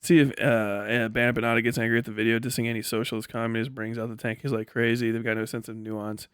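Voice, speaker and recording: A man's voice: mid-range voice, level tone, quick pace, fairly bright tone, smooth, no room sound. Blunt, sweary man livestreaming, dry sound. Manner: profane, blunt; sarcastic, acts out mock back-and-forth dialogues. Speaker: livestream host and commentator. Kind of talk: casual political commentary, riffing to live chat.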